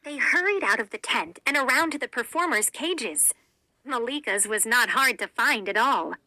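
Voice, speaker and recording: A woman narrating a children's read-along story in a deliberate, expressive storytelling manner. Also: fairly high pitch, no room sound, low-quality recording.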